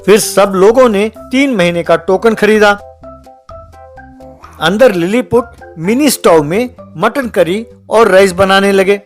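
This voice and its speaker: A man's voice: high voice, a little slow, faint music behind. A man narrating a scripted comic story as the storyteller.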